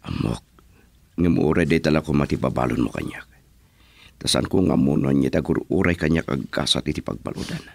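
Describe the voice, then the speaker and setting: A man with a Filipino accent playing a remorseful, pleading ex-convict son in an Ilocano radio drama, with a gravelly voice. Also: rumbling low, lively intonation, a little fast, dry recording, exaggerated voice. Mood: contrite pleading. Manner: pleading, earnest.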